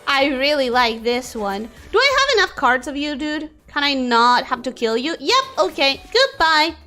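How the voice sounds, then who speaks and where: A young woman streaming a game, her first language Spanish, with a high, bright voice that leaps all over the place. She chats away casually, reacting to the game and answering chat. Faint game music plays underneath. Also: very animated, normal speed. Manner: chatty, reacts to the game and reads chat aloud.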